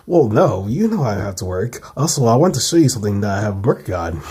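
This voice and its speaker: A man's voice: fairly low pitch, big pitch swings, a little fast, darkish tone, slightly rough, full character voice. A man voicing a busy, geeky inventor father in a comic, matter-of-fact and explaining.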